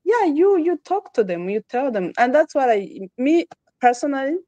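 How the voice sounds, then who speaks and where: A woman's voice: high voice, very animated, moderate pace, mellow, no room sound, dull audio. A woman from Rwanda with a Rwandan accent, talking casually as an interview guest and repeating phrases for emphasis. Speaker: podcast guest.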